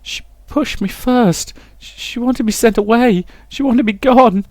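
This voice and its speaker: A man's voice: extremely high pitch, a little slow, a little mellow, no echo, pitched above the performer's own voice. A man voicing a frightened, guilt-stricken young woman in a visual novel, pleading and stammering in anguish. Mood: distraught, pleading. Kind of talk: dramatic reading of visual novel dialogue.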